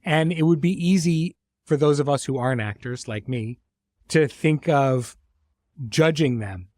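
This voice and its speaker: A man hosting a conversational interview, speaking thoughtfully in phrases broken by pauses and restarts. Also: on the high side, darkish tone, no room sound. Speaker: host, series creator.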